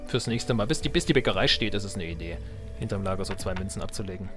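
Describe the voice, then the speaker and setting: A man's voice: fairly low pitch, expressive, fast talker, over music. A man giving casual let's play commentary, thinking aloud in colloquial speech. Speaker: let's player.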